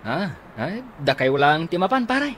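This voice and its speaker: A man's voice: a little high, very animated, measured pace, a bit bright, slight background noise. A man playing an impatient, grumbling husband in a comedy radio drama, hurrying people along. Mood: casual, proud. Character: impatient, hungry husband, showing off to friend.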